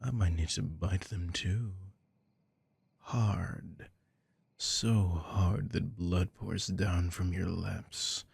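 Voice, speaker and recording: A man voicing a predatory, dominant vampire lord in an ASMR audio roleplay, taunting slowly and often whispering close to the microphone. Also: fairly even intonation, a little mellow, no echo, heavily acted. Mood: hungry. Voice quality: deep, gravelly, breathy.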